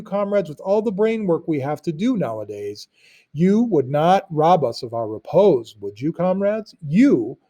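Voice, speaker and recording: A man's voice: fairly high pitch, mellow, breathy edge, full character voice. A man voicing a smug, persuasive pig in a storybook, coaxing with oratorical rhetorical questions.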